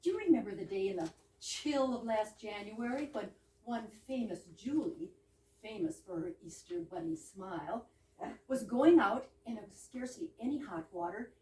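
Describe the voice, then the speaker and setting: A woman's voice: mid-range voice, animated, normal speed, dark tone, lightly acted. A woman playing a playful, teasing younger sister in an amateur staged reading of a 1920s comedy, breezy and mock-grand. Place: live reading in a roomy indoor space. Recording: echoey room, distant mic, background noise.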